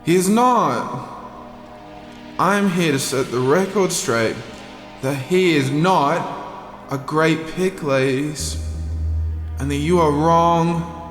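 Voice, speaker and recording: A man delivers a mock-serious comic monologue with slow, declamatory indignation. His fairly high voice rings with heavy reverb, and music plays behind him. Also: a bit bright.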